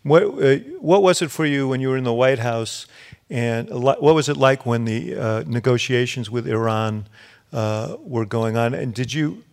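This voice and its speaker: A man's voice: medium pitch, moderate pace, creaky. A man hosting a live onstage interview, asking long questions with many fillers and restarts. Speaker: interviewer, podcast host. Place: university event stage with audience.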